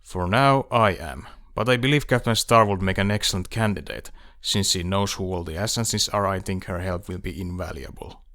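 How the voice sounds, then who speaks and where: A man playing a devoted, determined lover in audiobook dialogue, speaking in a hushed, earnest, measured way. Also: sits low, no room sound. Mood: wry.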